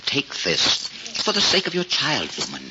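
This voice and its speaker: An actor playing a kindly, pious old Russian cobbler in a radio drama, speaking haltingly in folksy, old-fashioned phrases. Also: male voice, mid-range voice, huge pitch swings. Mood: tender, kindly. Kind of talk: 1940s radio drama acting. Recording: muffled, noisy 1940s radio recording.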